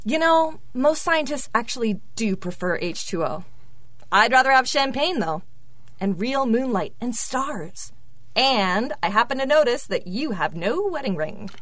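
Woman acting a quirky flirty scientist lady in audio drama, high swoopy voice, very dry close sound. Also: big pitch swings, a little slow, a bit bright, no room sound, exaggerated voice.

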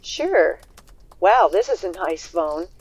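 A woman's voice: lowish voice, very animated, slow, a little mellow, breathy edge, heavily acted. A woman playing a chatty, agreeable volunteer test subject in an audio drama, speaking casually. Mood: agreeable, curious.

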